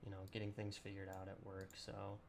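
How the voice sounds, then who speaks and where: A young man with a slightly raspy, sore voice, chatting casually and rambling through a let's-play with lots of ums. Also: low-pitched, brisk, noisy background. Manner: relaxed, rambling with many ums and throat clearing. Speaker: gaming channel host.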